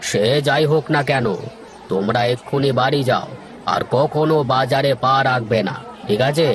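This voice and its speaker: A man's voice: medium pitch, moderate pace, a crowd in the background, close to an everyday voice. Man acting a pompous, commanding village landlord. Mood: stern. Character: stern, bossy village landlord, a grown man. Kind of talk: Bengali moral-story cartoon acting.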